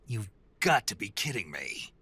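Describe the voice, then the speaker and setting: Game hero, male soldier: very deep, extremely husky, gruff, wry and weary. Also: huge pitch swings, unhurried, pushed and bright, heavily acted. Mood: wry, tense. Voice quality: gravelly, husky. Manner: wry, terse. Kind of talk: dramatic video game voice acting.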